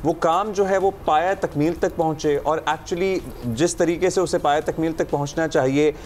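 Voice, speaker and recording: Guy co-hosting morning tv, earnest, formal urdu for news bits, low room hum. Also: fairly even intonation, moderate pace, a little mellow. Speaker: morning show co-host. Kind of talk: casual morning show chat.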